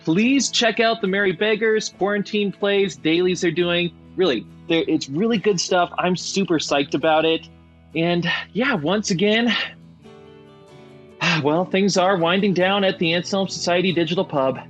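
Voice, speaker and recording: A man's voice: high voice, a little slow, soft background music. A man hosting a podcast in a casual, enthusiastic and informal conversational style. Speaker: podcast host.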